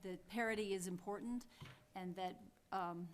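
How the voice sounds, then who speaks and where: A woman's voice: mid-range voice, fairly even intonation, normal speed. A woman chairing a town council meeting, her voice sitting in a middle range. She speaks plainly and thinks aloud, often restarting her sentences. A faint hum runs behind her.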